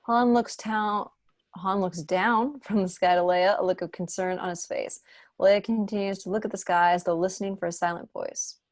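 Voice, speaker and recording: A woman's voice: medium pitch, steady tone, normal speed, dry recording, studio quality. A woman narrating the stage directions of a screenplay at a table read.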